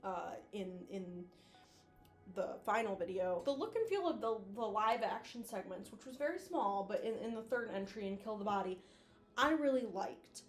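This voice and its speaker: A woman's voice: medium pitch, expressive, normal speed, soft background music. Informal, effusive woman in a roomy echo. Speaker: video essayist, host. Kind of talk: casual video commentary.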